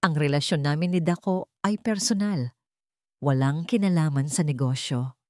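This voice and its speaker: A woman's voice: deep voice, moderate pace, dark tone, no room sound, studio quality, close to an everyday voice. A woman voicing an arrogant, defensive mistress in a narrated love story, haughty and full of denial. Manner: haughty, denying.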